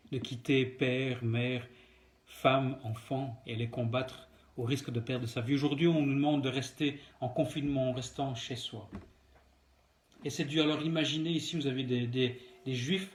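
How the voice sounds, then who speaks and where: A man delivers a devotional talk, speaking earnestly to the listener and repeating phrases for emphasis. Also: mid-range voice. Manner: earnest, emphatic repetition and direct address. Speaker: preacher giving a daily devotional.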